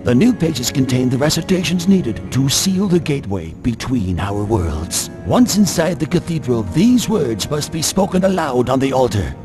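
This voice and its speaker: An actor playing a fussy, bossy scholar of ancient lore in a video game, theatrical and scolding. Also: male voice, medium pitch, sing-song, moderate pace, few pauses, in a large hall, lightly acted. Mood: solemn instruction. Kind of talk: video game cutscene acting. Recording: music behind the voice.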